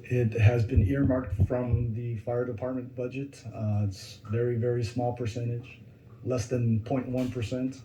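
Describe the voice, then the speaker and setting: A man's voice: medium pitch, little pitch movement, a little slow, noisy background. A man, a fire department captain, plainly answering questions from commissioners at a public meeting. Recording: echoey room with faint ambience.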